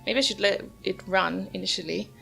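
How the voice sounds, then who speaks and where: A woman's voice: medium pitch, steady tone, a little fast, creaky, low-quality recording. A woman hosting a gameplay video, giving casual commentary as she plays. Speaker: gamer, host of the video series. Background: soft game music.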